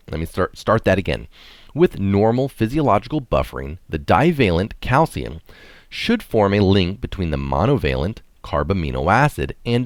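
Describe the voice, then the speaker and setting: A man's voice: low voice, no room sound, studio quality. A man reading an article aloud in an explanatory way, with casual asides of his own. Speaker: reader of articles, adding own comments.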